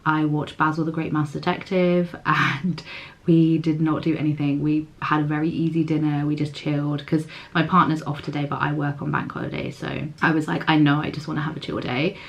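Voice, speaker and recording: A young woman with a husky, hoarse voice, chatting casually to camera in a vlog and using lots of fillers. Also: sits low, level tone, fairly quick. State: hoarse, recovering voice. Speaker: vlogger.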